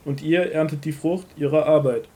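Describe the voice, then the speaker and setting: Man playing authoritative prophet and teacher, fairly high male voice, solemn, measured, emphatic, Bible radio play. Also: fairly even intonation, dark tone, lightly acted. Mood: earnest, teaching. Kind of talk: scripted audio drama of a Bible story.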